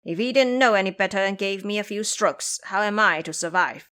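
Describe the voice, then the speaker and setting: A woman's voice: mid-range voice, fairly quick, a bit bright, clean tone, natural voice. Woman playing a grumbling, cowardly pig-man. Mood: defeatist, grumbling. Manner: blustering, complaining. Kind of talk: audio drama character acting.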